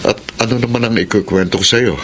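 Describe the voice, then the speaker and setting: An actor with a Filipino accent playing a flirtatious, brazenly lustful former seminarian in a radio drama, sly, teasing and suggestive. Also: male voice, fairly low pitch, steady tone, fast, fairly bright tone, slight background noise, natural voice. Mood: sly confiding. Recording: music playing behind the voice.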